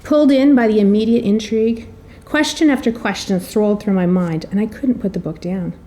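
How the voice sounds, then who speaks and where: A middle-aged woman with a soft, mellow tone and an average pitch for a woman. She delivers a prepared introduction as a storyteller would, with careful articulation and a wry, theatrical touch. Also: a little fast. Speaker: presenter introducing an author.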